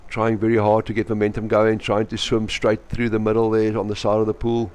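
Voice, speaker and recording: A man's voice: lowish voice, monotone, a little fast, a little mellow. South African man commentating live sport. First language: Afrikaans. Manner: partisan, cheering on his team.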